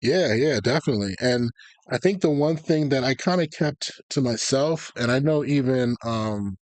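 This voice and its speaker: A man's voice: mid-range voice, normal speed, dry recording. A man giving a casual live-stream lecture on video game tactics, informal and conversational, wandering into tangents as he explains.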